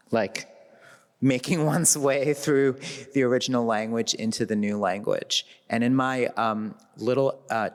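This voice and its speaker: Midwestern American man, reverberant hall. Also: fairly low pitch. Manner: thoughtful, laughs lightly, slows down when reading lines aloud. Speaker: literary translator on a festival panel. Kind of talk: reflective panel talk. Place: festival event hall.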